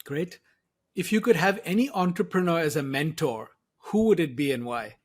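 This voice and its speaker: A man hosting an interview podcast, speaking in measured, clearly phrased sentences. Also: fairly high pitch, expressive. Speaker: podcast host. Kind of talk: interview hosting and scripted takeaways narration.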